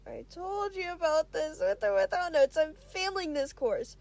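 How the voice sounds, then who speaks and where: A woman acts out a despairing young male student from a read-aloud story. The voice is very high and whiny, stammering through dramatic, self-doubting complaints in a mellow tone. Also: big pitch swings. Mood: exasperated despair. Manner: emotional, stammering, drawn-out complaints.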